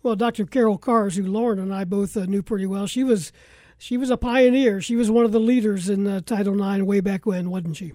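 A man's voice: high-pitched, steady tone, brisk, dark tone, dry recording. An American man hosting a live sports talk radio show.

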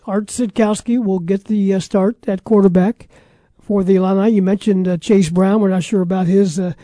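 Very dark, mellow-voiced man hosting radio. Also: high voice, fairly even intonation, moderate pace, dry recording. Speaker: sports talk radio host. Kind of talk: casual radio sports talk.

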